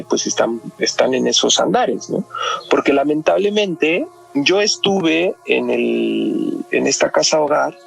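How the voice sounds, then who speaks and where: A man's voice: medium pitch, gravelly, a bit bright, slightly rough. A Mexican man explaining things conversationally as a psychologist guest on a podcast, often ending phrases with a tag question. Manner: constantly checks with tag questions.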